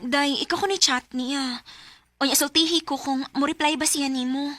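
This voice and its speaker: A young Cebuano woman in a Bisaya radio drama. Her voice is high-pitched and bright. She comes across as a devoted, needy girlfriend and daughter, speaking in everyday student talk. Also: steady tone, moderate pace, dry recording. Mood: upset, complaining. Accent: Cebuano Filipino. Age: young adult.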